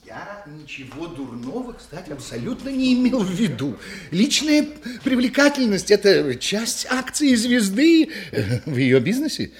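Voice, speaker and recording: A man's voice: sits high, big pitch swings, a little slow. A male actor playing a glib, scheming theatre man in a Russian radio play, drawling and theatrical as he excuses himself. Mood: breezy, sly.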